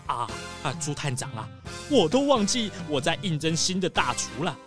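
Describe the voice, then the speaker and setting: A woman's voice: sits low, sing-song, normal speed, over music. A woman voicing a rich, anxious, food-loving male squirrel tycoon in a children's story, fussy and full of exclamations. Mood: flustered. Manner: fussy, exclamatory, repeats words. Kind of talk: children's audio story acting.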